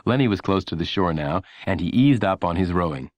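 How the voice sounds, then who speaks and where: A man narrating a children's audiobook in an expressive, measured storytelling manner. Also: deep voice, no echo.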